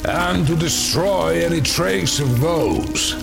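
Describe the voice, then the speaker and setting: An actor playing a vengeful, gloating supernatural villain with theatrical menace and a gravelly voice in an audio drama. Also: male voice, fairly high pitch, animated, takes it slowly, music in the background, full character voice. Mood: menacing.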